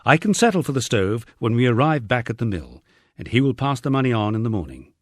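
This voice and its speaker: A man's voice: medium pitch, big pitch swings, brisk, no echo, studio quality. A man voicing a conspiratorial, self-important French rural estate manager in an audiobook, speaking formally and with patient condescension. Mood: condescending.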